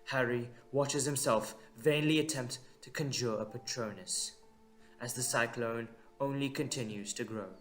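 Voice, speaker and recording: Male narrator, dramatic scripted storytelling, unhurried short phrases, suspenseful, medium pitch, music underneath. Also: fairly bright tone.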